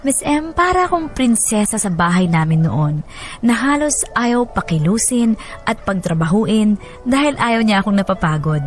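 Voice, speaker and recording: Actress as wife telling her bittersweet marriage story, reflective, mid voice, soft music under it, radio drama narration. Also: medium pitch, very animated, moderate pace, natural voice. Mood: tender, reflective. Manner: reflective, confiding. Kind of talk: scripted first-person radio drama narration.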